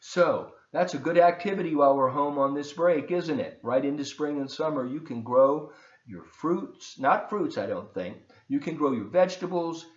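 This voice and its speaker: A man reading aloud to children as a volunteer storyteller, expressive and encouraging, with chatty asides. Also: a little high, normal speed, reverberant, lo-fi sound.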